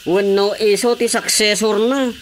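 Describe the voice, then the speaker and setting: Sneering village councilor, noisy recording. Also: male voice, high voice, fairly even intonation, measured pace. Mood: mocking. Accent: Filipino, Ilocano. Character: ambitious, mocking male village councilor. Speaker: radio drama actor. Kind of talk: radio drama comedy dialogue.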